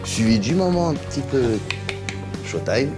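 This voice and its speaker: A man's voice: medium pitch, sing-song, a little slow. A man co-hosting a show in a casual presenting style, with music behind him. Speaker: co-host. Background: music under the voice.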